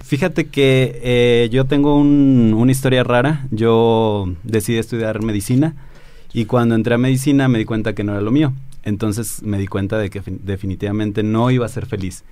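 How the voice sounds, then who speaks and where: A man's voice: medium pitch, fairly even intonation, normal speed, mellow, no echo. A man speaking as an interview guest, casually telling a personal story.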